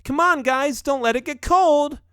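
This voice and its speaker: A caring but chiding storybook mother, played by a male narrator. The voice is lifted high and lilting, coaxing and motherly. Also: huge pitch swings, measured pace, a little mellow, dry recording, clean studio sound, full character voice. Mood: calling out. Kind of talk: storybook character voice.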